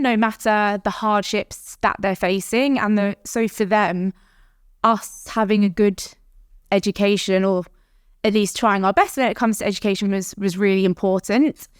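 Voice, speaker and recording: A woman's voice: medium pitch, clean tone, no echo, studio quality. Chatty woman with a London accent. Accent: London English. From South London. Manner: warm, laughs often, chatty storytelling. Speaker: guest, startup founder. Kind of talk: podcast interview.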